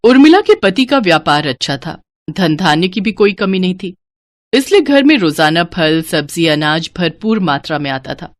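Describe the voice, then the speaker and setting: Low-voiced woman narrating a story. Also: normal speed. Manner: steady, clear storytelling.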